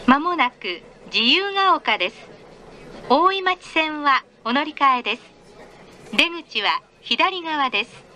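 Woman, very high pitch, formal train announcer, polite, precise diction, train noise behind. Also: expressive, slow pace, sharp tone, low-quality recording. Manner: polite, formal, carefully enunciated. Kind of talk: scripted public train announcement. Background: moving train noise.

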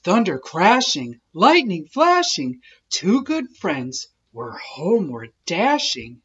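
A woman narrating a children's picture book in an expressive, measured storytelling way. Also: on the low side, husky, muffled recording. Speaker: children's read-aloud host.

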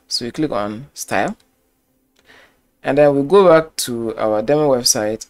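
A man walking through a website-building tutorial step by step, repeating key phrases as he clicks through the screen. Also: medium pitch. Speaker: web design tutor. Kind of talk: screen-recorded software tutorial.

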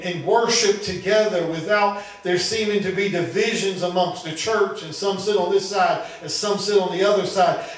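A middle-aged man preaching as a pastor, teaching the Bible earnestly and often repeating and rephrasing his phrases. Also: high voice. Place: small church room, indoors. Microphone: handheld microphone at a lectern. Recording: very echoey room, distant sound.

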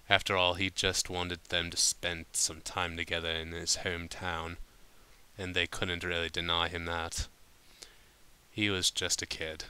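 A man's voice: low voice, bright, forceful tone, clean tone. A man reading a story aloud informally, sometimes stumbling over his words and breaking off to comment. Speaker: fan fiction reader.

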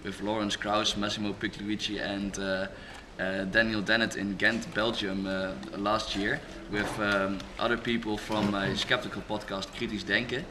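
A young man who hosts a skeptic podcast, talking casually at a conference in a large hall, halting with many fillers and restarts. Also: on the low side, flat delivery. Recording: noisy hall background.

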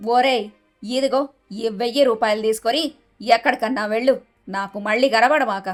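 A haughty, domineering mother-in-law from a cartoon moral tale, acted by a woman. She is middle-aged and sharp-tongued, scolding and scheming in a fairly high voice. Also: moderate pace, soft background music, natural voice. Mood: nervous, placating. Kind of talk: animated moral story dialogue.

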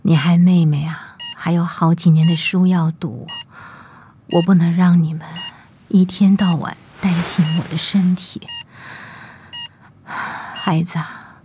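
A gentle, weary mother speaks softly in a lowish woman's voice. She is caring and self-sacrificing, in radio drama style, over slight noise. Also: steady tone, slow, long pauses. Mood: weak, reassuring.

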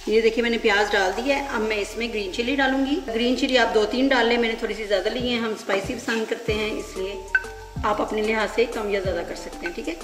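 A woman's voice: on the high side, steady tone, fast talker. Woman teaching a home cooking recipe. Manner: instructive, often ends with "theek hai". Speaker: cooking channel host. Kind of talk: step-by-step cooking tutorial. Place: home kitchen. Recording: echoey room with background music.

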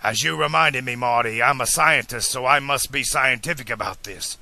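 Man acting an eccentric, forceful older inventor. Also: medium pitch, animated, unhurried, creaky, no echo, heavily acted. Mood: grave, resolved. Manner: declamatory, formal, emphatic. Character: eccentric, stubborn older male inventor, passionate and grandly earnest. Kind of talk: audiobook character dialogue.

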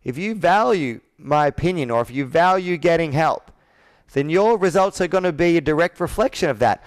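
Male sales coach, 30s, smooth voice, dry close sound, pushes with probing questions. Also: a little high, animated, moderate pace, no room sound. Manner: assertive, probing, rhetorical questions. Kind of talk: persuasive coaching on a sales call. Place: indoor office with a flip chart, on a video call.

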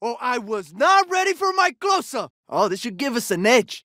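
A man's voice: very high-pitched, sing-song, moderate pace, pushed and bright, no room sound, clean studio sound, heavily acted. A man playing a cocky, wisecracking soldier in a video game, delivering short, punchy combat callouts. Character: cocky, jokey male soldier, sporty ex-jock type. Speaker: video game operator character.

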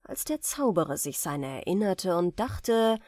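A woman reading a fairy tale as an audiobook narrator, in expressive, carefully enunciated storytelling. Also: lowish voice, moderate pace, dry recording, studio quality.

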